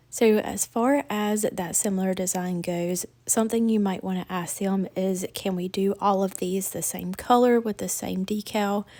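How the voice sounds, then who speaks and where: A woman's voice: mid-range voice, warm tone. A woman narrating a casual craft tutorial in a chatty, folksy way, her voice slightly crackly from feeling under the weather.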